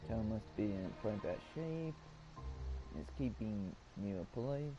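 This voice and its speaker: A man's voice: on the low side, animated, takes it slowly, lo-fi sound. A man casually commenting while playing a game and rambling to himself. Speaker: gamer. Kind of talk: casual let's-play commentary. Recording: clear game music behind voice.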